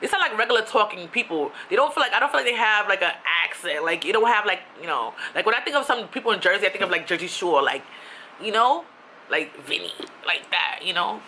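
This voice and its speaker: A woman's voice: medium pitch, quick pace, slight background noise, rough recording. Chatty young woman from New York. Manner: chatty, animated, with slang and asides. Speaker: YouTuber. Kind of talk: casual ranting vlog.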